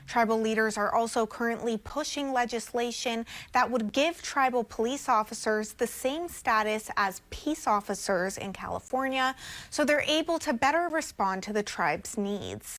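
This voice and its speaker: An American woman reads scripted television news as a reporter. Her voice sits a little higher than most women's, and she keeps to a narrated report style. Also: moderate pace, a bit bright.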